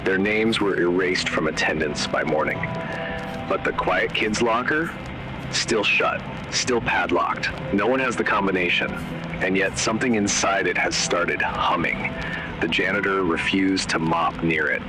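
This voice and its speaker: A man reading scripted horror narration in a measured, grave, ominous way, in short dramatic phrases. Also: low-pitched, over music, rough recording. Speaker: narrator of an analog horror video. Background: static noise.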